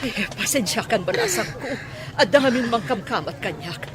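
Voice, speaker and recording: A woman's voice: a little high, lively intonation, normal speed, natural voice. A woman playing an older mother, protective and emotional, pleading and grateful in a radio drama. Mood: distressed, pleading. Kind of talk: Ilocano radio drama acting.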